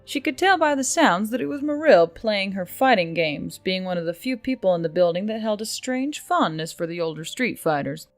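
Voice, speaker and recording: Woman, story narrator, mid-pitched voice, scripted reading, dry close sound, faint music underneath. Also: lively intonation, moderate pace, hardly any pauses, dry recording. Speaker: story narrator, channel reader. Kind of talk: scripted audiobook-style narration. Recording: music behind the voice. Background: background music.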